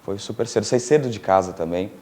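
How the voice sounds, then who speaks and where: A middle-aged man from Brazil chats as a guest on a TV interview show. His fairly low voice turns animated as he tells his stories, often breaking into laughter. His speech is loose and conversational, full of fillers and restarts. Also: brisk. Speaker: interview guest, filmmaker and producer. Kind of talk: casual storytelling in a TV interview.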